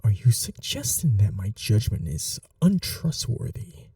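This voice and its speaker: A man playing a scheming young prince in an audio roleplay, speaking formally in a hushed, measured, imperious way. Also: fairly low pitch, huge pitch swings, no echo, studio quality, distant mic, heavily acted. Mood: stern, menacing. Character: scheming young prince, commanding yet tender to his bride. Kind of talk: dramatic ASMR audio roleplay.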